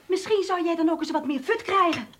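An actress playing an anxious, ambitious, nagging wife in a radio drama, insistent and fretful. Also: female voice, very high-pitched, lively intonation, brisk, a bit bright. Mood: reproachful, insistent. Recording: noisy, clicks and clattering dishes.

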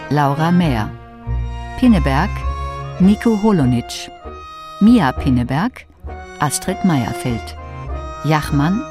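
Slow, measured announcer over music. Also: mid-range voice, lively intonation. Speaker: announcer of title and cast. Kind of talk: formal scripted radio drama credits.

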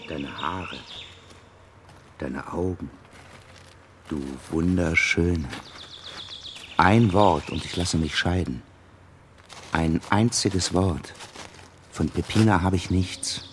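A man's voice: deep voice, takes it slowly, long pauses, background noise. An actor in a German radio drama playing a lecherous married man who coaxes and presses a girl. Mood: pleading, intimate.

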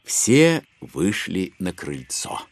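A man narrating a literary audio drama in an expressive storytelling manner. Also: low voice, very slow, gravelly, full character voice.

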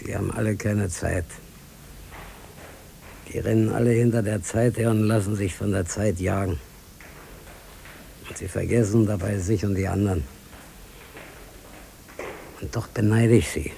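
An actor playing a desperate, weary older unemployed man in a radio play, brooding in halting, repetitive phrases. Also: fairly low pitch, fairly even intonation, creaky, warm tone. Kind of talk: German radio play acting. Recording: noisy old radio play recording.

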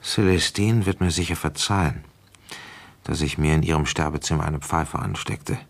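An actor playing a weary, shrewd police inspector in a German radio play, brooding and speaking deliberately as he reasons things through. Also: extremely low pitch, creaky, husky, full character voice. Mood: brooding, reflective. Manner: deliberate, reflective, halting while thinking aloud. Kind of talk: German radio play acting, first-person narration and dialogue.

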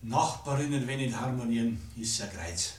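A man's voice: mid-range voice, expressive, moderate pace, natural voice. A man playing a plain-spoken, wearily placating farmer husband with a rustic Bavarian dialect in a comic radio drama. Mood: resigned. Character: resigned, hen-pecked Bavarian farmer husband. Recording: echoey, distant, noisy.

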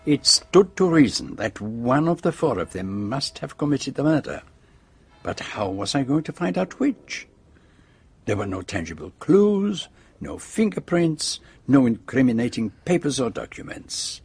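An actor playing a shrewd, self-assured detective in a radio drama, with a French-speaking accent and precise, theatrical phrasing. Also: male voice, mid-range voice, sing-song, unhurried, breathy edge, full character voice. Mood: triumphant explaining.

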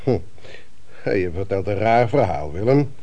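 An actor playing a fatherly but sceptical family doctor in a Dutch radio play, questioning deliberately with thoughtful hums. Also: lowish voice, animated, dark tone, dull audio.

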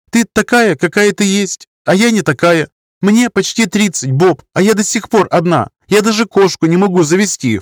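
A man's voice: fairly high pitch, no room sound, clean studio sound, pitched above the performer's own voice, lightly acted. A man voicing an anxious, defensive woman of nearly thirty in an audiobook, pleading and justifying herself. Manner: pleading, self-justifying, wordy. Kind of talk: audiobook character dialogue.

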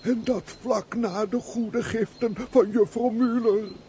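An actor playing a pious, frail peasant of about seventy in a theatrical radio play, with an aged voice and emotive sighs. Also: male voice, very high voice, steady tone, mellow, exaggerated voice. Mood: astonished joy. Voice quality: creaky, aged voice. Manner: slow, emotive, full of sighs and exclamations. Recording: faint background noise, old radio play.

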